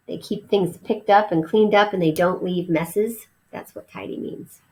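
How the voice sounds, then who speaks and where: A woman reading a children's story aloud as a teacher would, expressive, pausing to explain words. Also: on the low side, low-quality recording.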